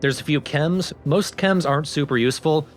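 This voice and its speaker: A man's voice: on the high side, moderate pace, soft background music. A man hosting a let's play with casual, explanatory game commentary.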